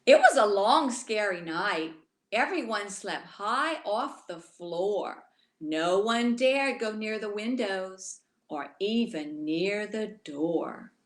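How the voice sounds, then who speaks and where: Slow-paced woman reading a bedtime story. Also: mid-range voice, roomy sound. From Louisiana. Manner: expressive, addresses young listeners directly. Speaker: children's author reading aloud. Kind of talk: bedtime story read aloud to children.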